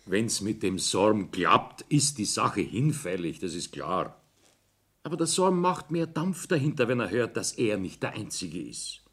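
A man playing a nervous, scheming middle-aged father in a German radio play, with a husky voice. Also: on the low side, very animated, a little slow, fairly bright tone, heavily acted. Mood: calculating.

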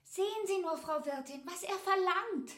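An actress playing a tearful, incredulous young barmaid in a literary radio drama. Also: female voice, very high-pitched, moderate pace, fairly bright tone, roomy sound. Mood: despairing disbelief.

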